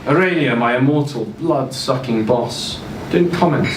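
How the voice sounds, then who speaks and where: A man giving an expressive live reading of a first-person story, now and then stumbling over words. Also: medium pitch, unhurried. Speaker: reader performing a short story at a live literary event. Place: indoor social venue, live event. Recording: roomy, distant mic, clear ventilation noise.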